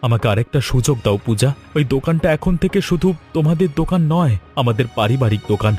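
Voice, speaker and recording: A man's voice: mid-range voice, expressive, quick pace, darkish tone, faint music behind, close to an everyday voice. A man voicing a remorseful, pleading young husband in a family cartoon drama, speaking earnestly.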